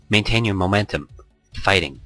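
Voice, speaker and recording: A man voicing a proper, encouraging woman gamer in a visual novel, with formal, measured wording. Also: sits low, bright, forceful tone, faint music behind, poor audio. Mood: approving.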